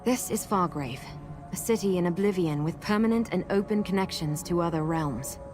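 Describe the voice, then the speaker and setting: An actress playing a guarded, urgent masked woman who protects people from cultists in a fantasy video game, speaking in an earnest, measured way. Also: fairly low pitch, music in the background, natural voice. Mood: urgent, businesslike. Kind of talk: video game character dialogue.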